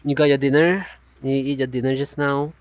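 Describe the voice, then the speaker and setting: A man hosting a casual chat stream in an animated, rambling way with frequent laughs and a non-native accent. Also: medium pitch, brisk, no echo. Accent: non-native, unnamed. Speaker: streamer host.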